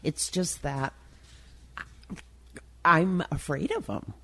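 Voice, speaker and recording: A woman's voice: low-pitched, animated, slow pace, pushed and bright, breathy edge. A woman, chatting casually and hesitantly as an interview guest. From Milwaukee, United States. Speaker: talk show guest, designer and writer.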